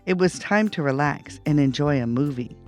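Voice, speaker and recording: A man reading a children's bedtime story aloud in an animated storytelling style. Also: fairly high pitch, moderate pace, soft background music. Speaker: storybook reader.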